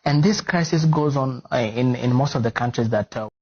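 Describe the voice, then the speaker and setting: A man's voice: mid-range voice, normal speed, a little mellow, breathy edge, highs cut off. A male interview guest giving a long, earnest explanation with frequent fillers. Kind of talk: interview answer.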